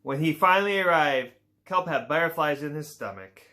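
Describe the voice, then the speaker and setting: A man reading a children's picture book aloud, unhurried and informal. He stumbles now and then and drops in playful asides, and his pitch rises and falls a great deal as he tells the story. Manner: relaxed reading with small slips and playful asides.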